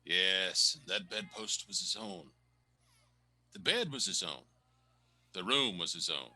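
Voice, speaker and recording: A man's voice: deep voice, wildly swooping pitch, takes it slowly, vocal fry, bright, forceful tone, exaggerated voice. A man as a dramatic storyteller narrating a radio play. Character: dramatic storyteller narrating a Christmas ghost tale.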